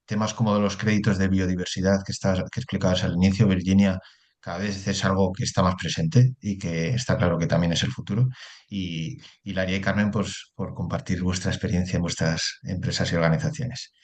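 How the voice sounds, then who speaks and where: Man, very low voice, moderator, friendly, relaxed, video call. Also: fairly quick. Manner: friendly, relaxed, informal thanks. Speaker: webinar moderator. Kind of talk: moderating an online webinar.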